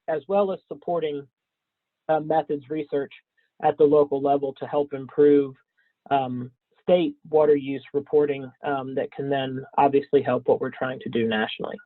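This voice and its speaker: A man presenting slides in a webinar, scratchy from a cold, speaking haltingly with frequent ums and throat clearing. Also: fairly high pitch, no echo. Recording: thin phone-like webinar audio, dry.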